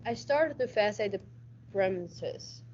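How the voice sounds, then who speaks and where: A woman's voice: medium pitch, expressive, a little slow, lightly acted. A hard-nosed, wisecracking detective acted by a teenage woman narrates a radio play in hardboiled style. The delivery stumbles and repeats now and then, on a slightly dull recording with faint noise. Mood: dry, hardboiled narration. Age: teens. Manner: stumbles and repeats words while reading. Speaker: school radio drama actor.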